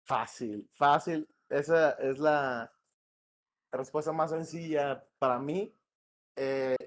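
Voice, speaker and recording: A man from northwest Mexico with a northern Mexican accent, a game director talking as a podcast guest in a relaxed, slangy, rambling way. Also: on the high side, slow pace. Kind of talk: casual podcast interview. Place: home in Morelia.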